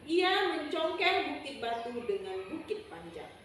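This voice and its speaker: A woman's voice: high-pitched, big pitch swings, unhurried, recorded from a distance. A woman telling a children's story expressively and prompting listeners with questions. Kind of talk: interactive storytelling to an audience. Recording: echoey room from afar, noisy.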